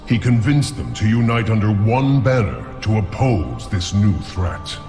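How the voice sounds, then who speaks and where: A man narrating a fantasy game cinematic in a solemn, measured storytelling manner. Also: lowish voice, lively intonation, long reverb tail. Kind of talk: scripted epic narration. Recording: game cinematic with music behind.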